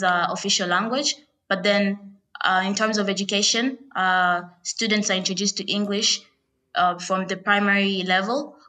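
A woman's voice: mid-range voice, pushed and bright. A young woman from Tanzania with a Tanzanian accent, answering interview questions casually with many "uh" and "like" fillers. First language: Swahili. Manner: hesitant, lots of "uh" and "like" fillers. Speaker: guest.

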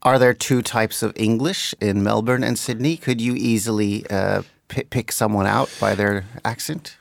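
A man from Belgium hosting a podcast, speaking in a measured, reflective way as he introduces the show and questions his guest. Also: on the low side, fairly even intonation, vocal fry, no echo, clean studio sound. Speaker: podcast host. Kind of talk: scripted podcast intro and interview.

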